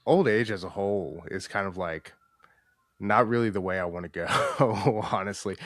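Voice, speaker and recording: A man in his thirties with a lowish voice, talking dry and close to the mic. He sounds wry and worn out, and swears in an offhand way. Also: normal speed, a bit bright, no echo. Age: 30s. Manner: wry and weary, swears casually. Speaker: podcast co-host. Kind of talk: casual chat.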